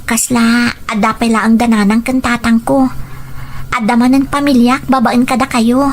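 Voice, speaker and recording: Woman as naive provincial student girl, very high voice, devoted and sincere; slight background noise. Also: little pitch movement, normal speed, natural voice. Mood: polite, grateful. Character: young female provincial student, grateful, naive, devoted in love. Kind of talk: scripted audio drama dialogue. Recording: noticeable background noise, ambience.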